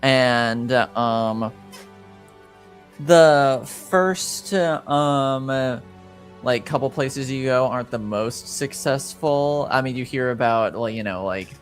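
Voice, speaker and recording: A man improvising narration as game master of a tabletop game, halting often as he searches for words. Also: mid-range voice, faint music behind.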